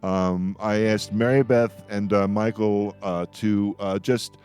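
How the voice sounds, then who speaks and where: A man's voice: lowish voice, fairly even intonation, slow pace, darkish tone, clear, resonant tone. An older man hosting a casual radio roundtable in a jokey, rambling way. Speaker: radio show host. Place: radio show with remote guests.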